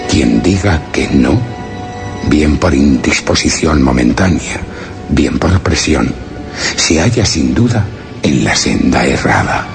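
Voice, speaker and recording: An actor from playing an anguished, secretive explorer in a suspense radio drama, narrating in grave, formal, literary language. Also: male voice, a bass voice, expressive, slow pace, creaky, over music, full character voice. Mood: anguished, solemn. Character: anguished male explorer hiding among a foreign people, solemn and secretive.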